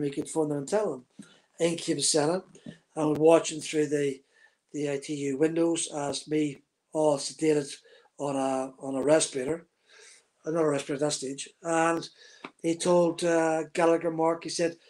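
A man's voice: a little high, darkish tone. A man, a guest on a video call telling a vivid, detailed story from his racing days. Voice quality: husky. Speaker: guest, former racing driver. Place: room at home, indoors. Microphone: webcam on a video call.

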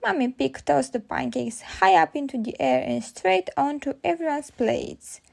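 A woman reading picture books aloud in an expressive storyteller's style for young children. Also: sits high, measured pace, creaky.

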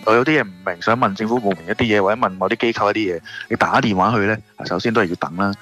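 A man with a Hong Kong Cantonese accent explains things casually as an interview guest. His voice is fairly low yet bright and forward, with music faintly underneath. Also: rapid-fire. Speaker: radio interview guest, shop owner. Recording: music playing behind the voice. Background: background music.

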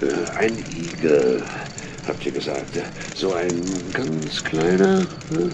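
A crafty old beggar in a children's audio drama. His gravelly voice swings widely as he whines, wheedles and stammers his evasions. A faint noise sits behind the theatrical delivery. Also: sits low, huge pitch swings, slow, exaggerated voice. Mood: stammering, evasive. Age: older. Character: sly, whining old tramp and thief. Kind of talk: 1970s-80s German children's audio drama acting.

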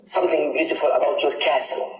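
Echoey, higher-pitched male preacher. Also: fast. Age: middle-aged. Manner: emphatic, repeats phrases, mixes in Arabic quotations. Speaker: guest preacher on a religious talk show. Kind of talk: religious storytelling, preaching. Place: indoor TV studio. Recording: echoey sound with faint music behind.

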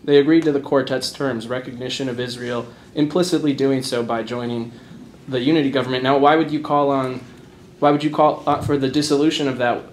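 A man's voice: medium pitch, fairly even intonation, fairly quick. Challenging man with a mid-range voice. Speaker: activist questioner. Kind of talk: pointed political questioning. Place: conference room, camera mic. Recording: faint room noise.

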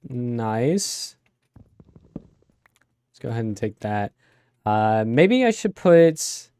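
A young man with a raspy voice from a cold, rambling casually with many fillers as he plays a game. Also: mid-range voice, a little mellow, no room sound, clean studio sound. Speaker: let's play host. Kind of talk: casual gameplay commentary.

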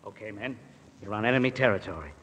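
Man acting a fast, brisk American army sergeant. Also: lowish voice, expressive, natural voice. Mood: brisk, commanding. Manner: clipped, authoritative orders. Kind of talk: 1940s radio acting. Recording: noisy, reverberant old radio broadcast.

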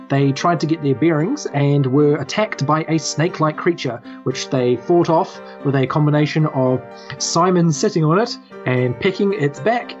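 Halting New Zealand man as game master. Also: a little high. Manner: halting, self-deprecating. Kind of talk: casual game recap and narration. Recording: slightly muffled, music behind. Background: background music.

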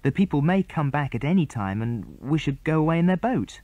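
A man's voice: a little high, big pitch swings, a little fast, warm tone, dry recording, voice pushed up. A man with a British accent voicing an eager, inquisitive young boy in a children's adventure storybook. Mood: questioning. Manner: eager, questioning.

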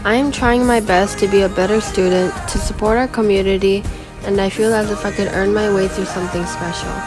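A fifteen-year-old girl from Guam reading her autobiography aloud as a school presentation, in an earnest, steady voice. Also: medium pitch, brisk. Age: teenager, 15. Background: background music.

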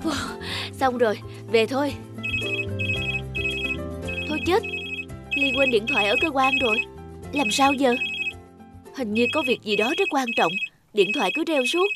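A woman's voice: sits high, lively intonation, a little slow, pauses often, loud music behind the voice. A woman voicing a friendly, polite young female office worker in a cartoon. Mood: friendly, earnest. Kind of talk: cartoon voice acting.

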